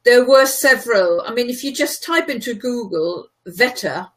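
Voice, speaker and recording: A woman's voice: a little high, animated, normal speed, a bit bright. A woman whose first language is German, from Germany, tutoring in a casual chat, patient and explanatory, laughing easily.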